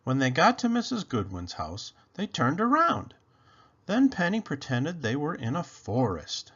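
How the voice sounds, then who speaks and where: A man reading a children's story aloud in an expressive, animated, deliberate way. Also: a little high, husky, muffled recording. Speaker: storybook read-aloud host.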